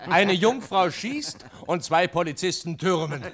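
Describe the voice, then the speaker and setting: An outspoken, sardonic townsman in a radio play. His voice is fairly high and very lively, with a colloquial bar-talk manner. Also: slow pace, a crowd in the background. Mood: amused. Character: outspoken, sardonic townsman gossiping over drinks. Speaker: actor. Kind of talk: German radio drama acting.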